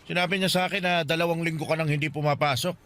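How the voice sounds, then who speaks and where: A man's voice: a little high, fairly even intonation, racing, noisy background, natural voice. A man with a Filipino accent playing a poor, stern but caring older guardian in a radio drama, scolding and pleading earnestly. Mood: angry, hurt. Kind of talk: Tagalog radio drama acting.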